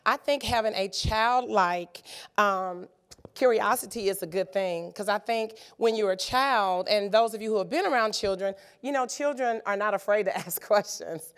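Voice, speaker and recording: Slightly raspy woman presenting a workshop. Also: mid-range voice, normal speed, a bit bright. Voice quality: many filler ums and uhs. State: slightly raspy from a sinus cold. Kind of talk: conference workshop talk. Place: conference room.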